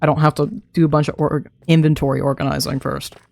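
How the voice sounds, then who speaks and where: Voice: medium pitch, little pitch movement, quick pace, vocal fry, darkish tone. Chatty streamer with a dry throat. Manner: chatty, talks to self while playing, laughs often. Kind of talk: casual live gameplay commentary.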